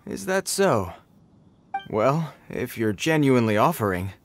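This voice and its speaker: Formal, earnest town guard. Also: male voice, mid-range voice, sing-song, unhurried, a little hiss or hum. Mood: grateful, hesitant. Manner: formal, stiff, declamatory. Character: earnest, dutiful fantasy town guard soldier. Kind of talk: scripted video game character acting.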